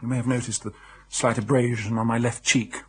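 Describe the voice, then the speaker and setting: An actor playing a hard-up, lovelorn young English writer, hesitant, rueful and well-bred, in a radio drama. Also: male voice, medium pitch, little pitch movement, fairly quick, darkish tone, breathy edge. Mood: rueful, frank. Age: young adult.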